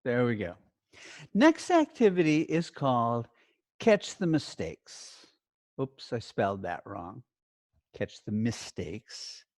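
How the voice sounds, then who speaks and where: Lively, varied-pitch teacher giving slow instructions. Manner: clear simple explanations with short instructions and check questions. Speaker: teacher training English language teachers. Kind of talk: instructional lesson with step-by-step activity directions.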